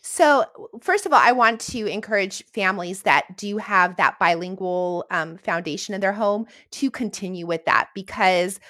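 A woman's voice: mid-range voice, normal speed, hardly any pauses, fairly bright tone, no room sound, clean studio sound. A woman speaking as an educator guest in an interview, giving encouraging, reassuring advice in a conversational way.

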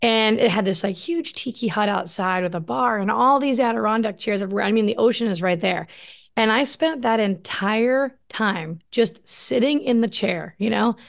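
A woman hosting a casual video-call conversation, chatty and enthusiastic, quick to gush in agreement. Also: a little high, vocal fry. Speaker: podcast host. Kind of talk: casual chat.

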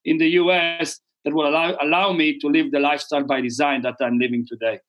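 A man's voice: on the high side, fairly even intonation, fast talker, highs cut off. A middle-aged man with an Israeli accent, explaining things conversationally as an interview guest in a rambling way with repeated words. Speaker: podcast guest, real estate investor.

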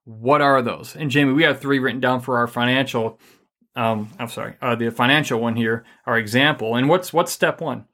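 A man's voice: medium pitch, moderate pace. Chatty man giving upbeat advice. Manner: energetic, rambling, filler-heavy. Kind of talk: casual faith-based advice chat.

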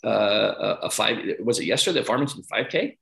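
A man hosting a podcast interview in a conversational coaching style, putting his questions slowly and haltingly with repeated words. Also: lowish voice, animated, vocal fry, fairly bright tone. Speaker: podcast host. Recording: roomy, echoing sound.

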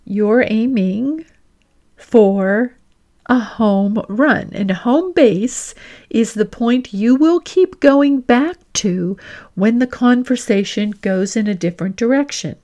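A woman career coach hosting an instructional podcast, speaking deliberately and stressing her key phrases as she explains points and example dialogue. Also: fairly high pitch, darkish tone, lo-fi sound.

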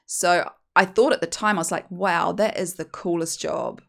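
A woman's voice: fairly low pitch, moderate pace, vocal fry, a bit bright. A woman in her forties from New Zealand with a New Zealand accent, hosting a podcast and telling her own story in a candid, chatty, self-mocking way. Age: 40s. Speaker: podcast host, hypnotherapist. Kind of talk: personal storytelling monologue.

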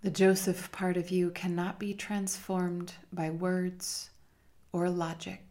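A woman leading a guided meditation in a measured, hushed manner with long pauses between phrases. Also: lowish voice, little pitch movement. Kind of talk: slow guided meditation narration.

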